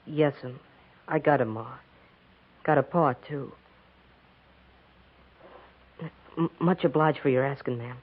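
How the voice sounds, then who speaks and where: A young male actor playing a polite, earnest thirteen-year-old American runaway farm boy in a 1950s radio western, with halting, folksy speech. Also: on the high side, noisy background, natural voice. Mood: polite, sincere. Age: child or teenager. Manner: folksy rustic grammar, respectful, halting. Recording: muffled, narrow-band 1950s radio recording.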